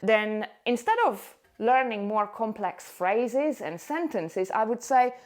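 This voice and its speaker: A woman's voice: a little high, takes it slowly. Upbeat woman coaching hula hoop fitness. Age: 40s. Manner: upbeat, encouraging, coaxing. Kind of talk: motivational talk to camera.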